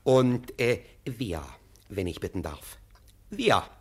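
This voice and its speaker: An actor in a German radio play playing a pompous, irritable police assessor who is officious and stammers when flustered. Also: male voice, medium pitch, very animated, takes it slowly, husky, exaggerated voice. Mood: flustered, curious.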